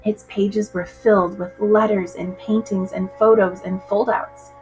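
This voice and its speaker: A woman reading a picture book aloud to children in an expressive, measured storytelling style. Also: mid-range voice, faint music behind, poor audio.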